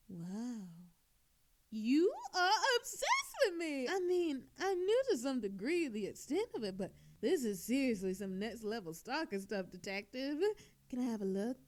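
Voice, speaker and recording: A woman's voice: on the high side, darkish tone. A woman playing an obnoxiously flirtatious, sarcastic female thief in a scripted audio roleplay, teasing coyly and theatrically. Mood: smug, teasing.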